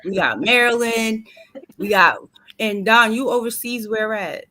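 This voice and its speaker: A woman's voice: fairly high pitch, animated, unhurried, sharp tone, slight background noise. A woman hosting an online book tour interview, upbeat and chatty. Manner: upbeat, chatty, uses y'all. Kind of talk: casual interview.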